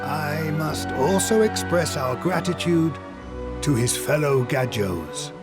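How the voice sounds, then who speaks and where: An actor playing a stately, reverent clan leader in a video game, speaking in a formal, ceremonial way. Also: male voice, a little high, expressive, takes it slowly. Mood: solemn gratitude. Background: music.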